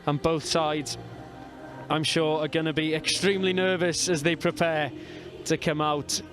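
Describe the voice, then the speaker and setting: A man from North Wales with a North Welsh accent, calling a rugby match as live commentator in a measured, steady way. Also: on the high side, over music. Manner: measured, reads out team lines steadily.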